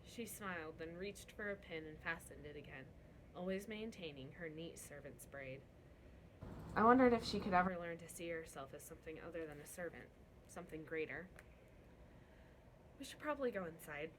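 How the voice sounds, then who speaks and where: A woman reading a novel aloud as a first-person narrator, in an expressive storytelling style. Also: low voice, a little fast, noisy background. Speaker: book reader.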